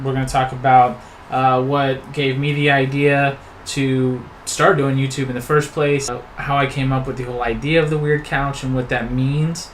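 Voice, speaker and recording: A man's voice: mid-range voice, a little hiss or hum. A man in his thirties hosting a casual chat, talking in a loose, rambling way with teasing asides. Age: 30s. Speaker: host.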